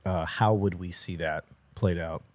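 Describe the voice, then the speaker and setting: A man's voice: sits low, steady tone, a little slow, a little mellow, no echo. A man co-hosting a podcast, introducing a clip in a loose, rambling way with fillers. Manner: rambling, many fillers and restarts. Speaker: podcast co-host. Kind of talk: casual podcast chat.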